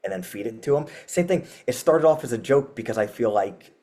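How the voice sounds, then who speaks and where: A man's voice: mid-range voice, animated, brisk, mellow. Rambling, laughing man, casual guest. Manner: rambling, laughs often, many "like" fillers. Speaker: metal band frontman as podcast guest. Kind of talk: casual interview chat.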